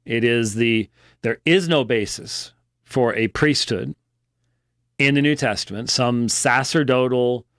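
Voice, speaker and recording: A man giving unscripted religious news commentary as a program host, halting and deliberate, with a critical, emphatic edge. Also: medium pitch, fairly bright tone, clear, resonant tone, dry recording, studio quality.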